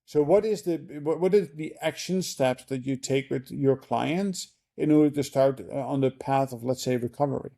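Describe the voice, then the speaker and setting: Gravelly, dark-voiced male podcast host. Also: medium pitch, normal speed, hardly any pauses. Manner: rambling, many fillers and restarts. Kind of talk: casual interview talk.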